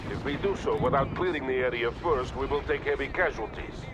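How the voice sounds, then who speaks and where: An actor playing a stern, weary Soviet colonel in a video game, giving clipped orders with a Russian accent. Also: male voice, mid-range voice, a little fast, lightly acted. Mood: firm, commanding. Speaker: military commander in a game. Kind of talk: scripted video game cutscene acting. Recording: loud battlefield noise behind.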